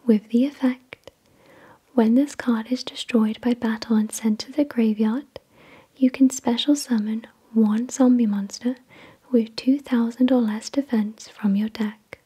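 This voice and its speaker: A woman whispering in a breathy, unvoiced voice, reading in a gentle, measured ASMR style. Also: a little high, fairly even intonation. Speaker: ASMR host. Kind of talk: whispered ASMR card unboxing, scripted reading.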